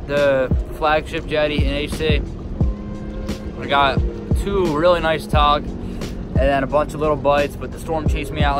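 Laid-back young man fishing off a jetty. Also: a little high. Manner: laid-back, talks to fish and viewers while fishing. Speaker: fishing vlogger. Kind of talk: casual fishing commentary. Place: outdoors on a rocky jetty by the sea. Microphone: chest-mounted action camera, later handheld at arm's length.

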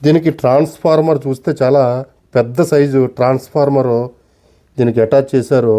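A man giving an unscripted demonstration, explaining deliberately and politely in short, broken phrases. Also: medium pitch, steady tone, dark tone. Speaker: antique radio collector and presenter.

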